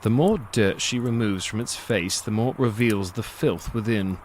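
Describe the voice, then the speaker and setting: An actor playing a gloating predatory monster who narrates a dark fantasy audio drama in a theatrical, savoring, sardonic manner. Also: male voice, on the low side, normal speed, slight background noise, lightly acted. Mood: intrigued, scornful.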